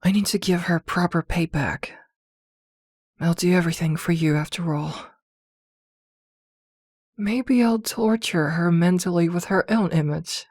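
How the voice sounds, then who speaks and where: A woman playing a possessive, protective witch girlfriend in an intimate ASMR roleplay, with a soft, coaxing murmur. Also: on the low side, unhurried, pauses often, no echo, studio quality. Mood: protective, vengeful.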